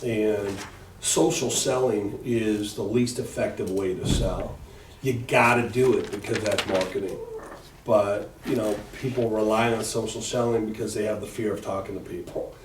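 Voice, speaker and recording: Brief: low-pitched male sales trainer, room-miked from a distance, noisy echoey space. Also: moderate pace. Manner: energetic, quizzes the room. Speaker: sales trainer leading a workshop. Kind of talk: live seminar talk with the audience. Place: training room at a live event. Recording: roomy, distant mic, audible background noise.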